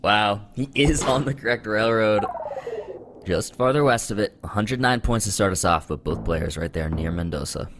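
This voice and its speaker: A man with a fairly low voice calls a competitive match live, rising sharply in pitch whenever a big play lands. Clear background music runs under his commentary. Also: normal speed. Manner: excitable, pitch jumps up on big moments. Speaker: esports commentator.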